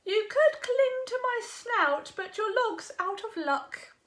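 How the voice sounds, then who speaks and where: A woman's voice: extremely high pitch, animated, slow pace, a bit bright, pitched above the performer's own voice, heavily acted. A woman voicing a muddy, self-satisfied hog in a storybook, speaking in sniffy, relishing rhyme. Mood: smug regretful.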